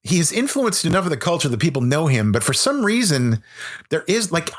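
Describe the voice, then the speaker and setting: A man's voice: a little high, big pitch swings, fairly quick. A man talking at length as a podcast guest in casual interview chat, sharing opinions with a film buff's enthusiasm. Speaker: podcast guest, horror author.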